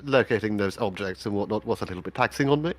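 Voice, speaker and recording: A man's voice: fairly low pitch, fast talker. A man roleplaying in a tabletop game, speaking in a polite and rather formal way.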